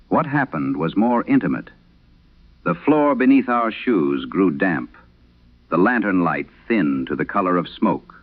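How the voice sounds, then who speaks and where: Man acting a deep, gravelly old exorcist priest. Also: narrow, tinny audio, full character voice. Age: older. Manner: solemn, deliberate, dramatic. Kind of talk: scripted horror radio story narration.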